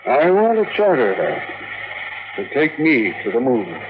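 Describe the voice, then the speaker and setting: A man's voice: medium pitch, sing-song, measured pace. An actor playing a wealthy elderly businessman in a vintage radio drama, polite, hesitant and earnest. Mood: courteous, probing. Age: older. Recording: tinny, noisy vintage radio recording.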